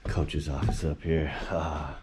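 A man casually narrating a vlog as he tours his weightlifting gym, relaxed and chatty. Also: a bass voice, slow pace, noisy background. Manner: relaxed, chatty, laid-back. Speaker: vlogger touring his gym. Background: music.

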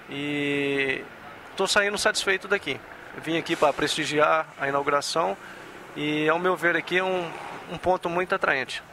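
Brazilian male customer interview, bright higher-pitched voice, noisy venue. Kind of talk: interview opinion. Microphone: handheld interview microphone.

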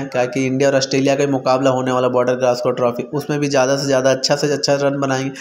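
A man speaks in a fairly high register for a male voice. He delivers informal sports commentary as a voice-over, telling it like a story. He addresses his audience directly, as one would talk to friends. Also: slight background noise. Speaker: YouTube cricket commentator.

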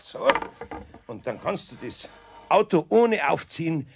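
An actor playing a patient, kindly older carpenter in a children's radio play. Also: male voice, a little high, sing-song, a little slow, gravelly, breathy edge, heavily acted.

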